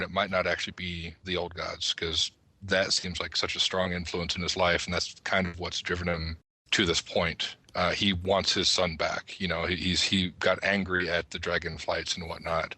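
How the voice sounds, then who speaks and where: A man's voice: deep voice, little pitch movement, moderate pace, pushed and bright, husky, no room sound. A man speaking as a podcast panelist in a casual discussion of game lore.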